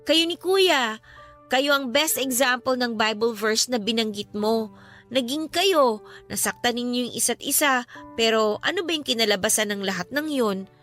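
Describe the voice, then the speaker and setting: A woman's voice: fairly high pitch, moderate pace, fairly bright tone, natural voice. A young woman acting an earnest, devout younger sister in mourning who reassures others in a Filipino radio drama. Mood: earnest consoling. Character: grieving, devout younger sister consoling her older friend. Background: music.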